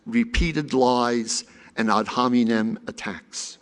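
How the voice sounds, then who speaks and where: A man reading a prepared conference lecture with an earnest, expressive delivery. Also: medium pitch. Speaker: conference speaker.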